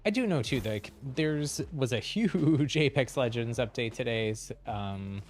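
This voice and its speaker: A man's voice: mid-range voice, expressive, unhurried, soft background music. A man doing casual live gameplay commentary as a streamer, chatty and hesitant as he thinks aloud. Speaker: game streamer.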